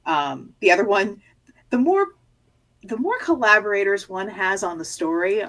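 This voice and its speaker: A woman's voice: mid-range voice, sing-song, normal speed, a bit bright. A middle-aged woman co-hosting a podcast, chatting casually with lots of restarts and fillers. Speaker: podcast co-host. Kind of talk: casual chat.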